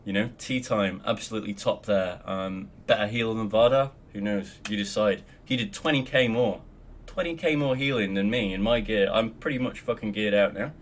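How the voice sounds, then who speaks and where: A man's voice: lowish voice, normal speed. A young Australian man casually talking through a game tutorial at his desk, rambling with lots of ums and the odd swear word. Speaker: guild member teaching raid logs. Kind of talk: casual screen-recorded tutorial.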